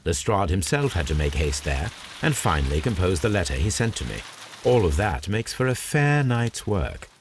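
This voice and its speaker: Low-pitched British gentleman detective, Victorian, aloof, precise, formal, very varied intonation, video game cutscene. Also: very animated, moderate pace, slight background noise. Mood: confident, deductive. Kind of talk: scripted video game acting.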